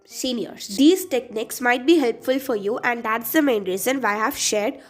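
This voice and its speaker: A teenage girl with an Indian English accent talks through her study tips in a high, light voice. She sounds earnest and chatty, stretching out each list item as she goes. Faint music plays under her voice. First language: Telugu. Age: teens. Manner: earnest, chatty advice-giving, drawn-out list items. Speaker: student vlogger.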